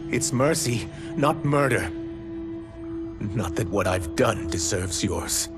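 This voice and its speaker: An actor voicing a remorseful reptilian shapeshifter in human form, earnest and formal, in dramatic video game style. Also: male voice, on the low side, expressive, takes it slowly, loud music behind the voice. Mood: desperate. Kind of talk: video game cinematic acting.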